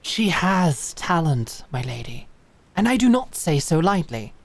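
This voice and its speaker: A woman voicing an earnest, devoted female knight and sword instructor, formal and measured. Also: sits low, very animated, vocal fry, full character voice. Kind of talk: fantasy audio drama acting.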